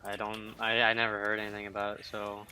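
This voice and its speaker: A man's voice: lowish voice, completely flat delivery, quick pace, sharp tone, slight background noise. A young man chatting casually on a game livestream, blunt and swearing freely. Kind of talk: casual gaming chat.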